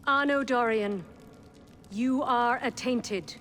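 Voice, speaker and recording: Casting: strict ruler of a secret brotherhood in a game, slightly high, authoritative, judicial. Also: female voice, slow pace, fairly bright tone, a little hiss or hum. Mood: stern. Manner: formal, commanding. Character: stern woman leader of a secret assassin council.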